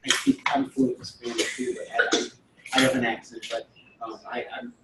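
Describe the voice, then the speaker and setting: Halting man in a noisy, echoey room. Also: mid-range voice, very animated. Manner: halting, laughs easily. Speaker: law student. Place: lecture classroom. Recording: echoey room, distant mic, loud noise.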